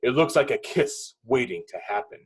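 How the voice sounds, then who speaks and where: A man reading stage directions as narrator at a table read, in dramatic, clipped phrases with pauses. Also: mid-range voice, lively intonation, breathy edge.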